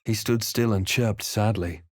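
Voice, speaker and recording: An actor playing a solemn adventurer who recounts a dark fantasy journey in measured, literary game narration. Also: male voice, on the low side, noisy background, lightly acted. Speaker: narrating player character. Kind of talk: scripted first-person fantasy game narration.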